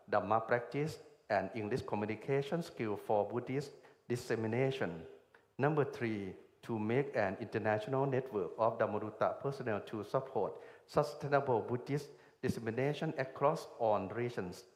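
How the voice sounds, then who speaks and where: A man's voice: mid-range voice, normal speed, darkish tone. Formal Thai-accented man in an echoey hall. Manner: deferential, formal. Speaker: programme organizer presenting a report. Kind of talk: formal ceremonial speech read from a script. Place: large hall at a ceremony. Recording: echoey hall with long reverb.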